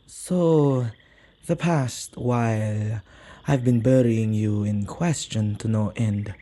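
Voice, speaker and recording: A man playing a possessive yet soft-hearted male witch in an intimate ASMR roleplay, speaking gently and haltingly in slightly archaic phrasing. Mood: gentle, apologetic. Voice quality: low, husky, creaky voice.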